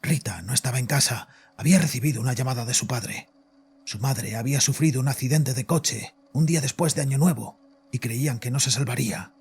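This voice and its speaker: Casting: haunted, bitter father on the psychiatrist's couch, heavy fry and husky rasp, agitated horror-fiction delivery. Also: medium pitch, darkish tone. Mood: grim, defensive. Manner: agitated, halting, haunted confession. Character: guilt-ridden, frightened, bitter father confessing to his psychiatrist. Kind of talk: dramatized horror audio fiction. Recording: voice over background music.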